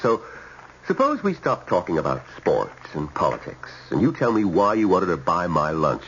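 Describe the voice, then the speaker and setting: A man's voice: sits low, huge pitch swings, normal speed, fairly bright tone, heavily acted. An actor playing a troubled, indecisive married man in an old-time radio drama, with a hesitant delivery. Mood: guarded. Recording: dull, slightly noisy old radio recording.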